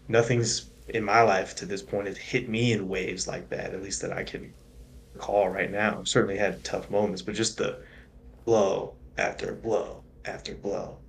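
Slow, thoughtful man on a podcast. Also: lowish voice. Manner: thoughtful, searching, restarts phrases. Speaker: podcast co-host. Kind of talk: casual reflective chat.

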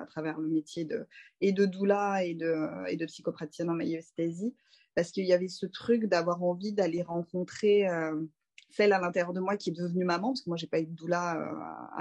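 A woman in her thirties from France talks through her life in a relaxed, reflective way. Her voice sits on the low side, and she often pauses on fillers and restarts her sentences as she thinks. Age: 30s. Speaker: doula and interview guest. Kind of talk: casual conversational interview.

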